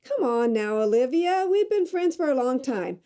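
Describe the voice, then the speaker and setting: A woman's voice: sits high, big pitch swings, normal speed, dark tone. A woman acting a stubborn, self-reliant woman in an audio drama, with an emphatic, headstrong way of talking. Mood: insistent.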